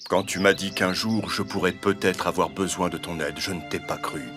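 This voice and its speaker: A man playing a proud, gruff lion king in an animated fable, with a haughty, commanding manner. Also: deep voice, moderate pace, music in the background, natural voice. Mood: humbled. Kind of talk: animated fable character acting.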